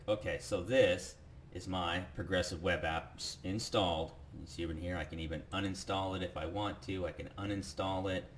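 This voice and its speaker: A man with a fairly low voice walks viewers through a software demo step by step. He sounds casual and sold on the product, pitching it with enthusiasm. There is faint noise behind him.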